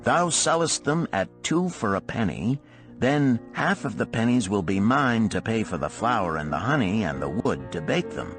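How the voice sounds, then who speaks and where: A man voicing a pleased, fair-dealing master baker of ancient Babylon in an audiobook, with archaic, deliberate talk. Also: lowish voice, sing-song, faint music behind, voice pushed up. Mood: pleased, generous. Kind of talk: acted audiobook dialogue.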